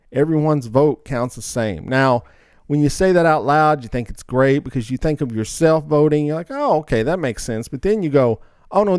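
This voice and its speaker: A man's voice: medium pitch, a little mellow, smooth, no echo, studio quality. Opinionated man in casual podcast chat. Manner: opinionated, rambling. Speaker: podcast co-host.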